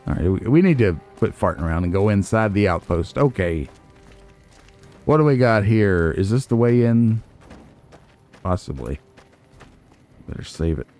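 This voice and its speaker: Man, low mellow voice, very varied intonation, playful theatrical let's play host, casual. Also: lively intonation, moderate pace, pauses often, faint music behind. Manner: playful, theatrical, talks back to game characters. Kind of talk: casual gameplay commentary.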